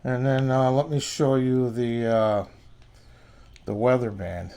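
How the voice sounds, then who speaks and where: Folksy guy doing a casual gadget review, lots of fry in his voice. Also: medium pitch, fairly even intonation, slow pace, warm tone. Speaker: radio reviewer. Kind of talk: casual hands-on product demo.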